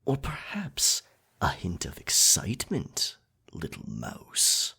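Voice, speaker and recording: A man playing a dominant, possessive pirate captain in an intimate ASMR roleplay, teasing and sly, with a raspy, breathy voice. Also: huge pitch swings, sharp tone, heavily acted. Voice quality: raspy, breathy, gravelly low voice. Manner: slow, deliberate, teasing pirate speech.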